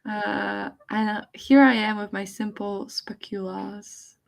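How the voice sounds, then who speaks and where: A woman from Poland with plenty of vocal fry in a mid-range voice. She co-hosts a casual livestream, chatting informally and reading out comments from viewers. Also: medium pitch, fairly even intonation, takes it slowly. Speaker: live stream co-host.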